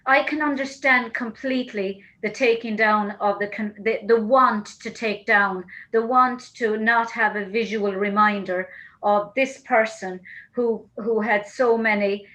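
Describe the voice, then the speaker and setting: An Irish woman talks over a video call as the host of an interview. She works through her thoughts slowly, stopping and restarting her sentences as she goes. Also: a little high, fairly even intonation, pushed and bright, poor audio. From Ireland. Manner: thoughtful, halting, many restarts.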